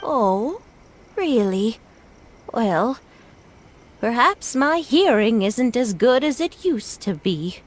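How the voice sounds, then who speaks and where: Slow, gentle elderly grandmother. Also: on the high side, big pitch swings, heavily acted. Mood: gentle, wistful. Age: older. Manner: polite, unhurried, reminiscing. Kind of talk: video game voice acting.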